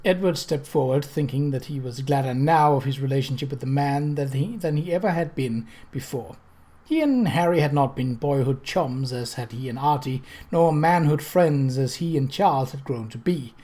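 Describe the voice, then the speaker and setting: A measured narrator reading a novel aloud. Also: mid-range voice, mellow. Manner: literary, measured storytelling with character dialogue. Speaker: audiobook reader.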